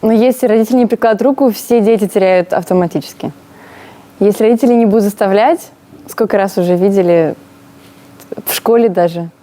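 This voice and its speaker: A woman with a mellow tone talks quickly and firmly. She states her points matter-of-factly in a documentary interview. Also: mid-range voice.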